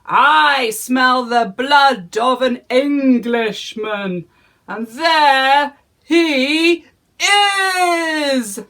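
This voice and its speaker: A woman voicing a huge, hungry giant in a storybook, booming out slow threats. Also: fairly bright tone, exaggerated voice. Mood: menacing.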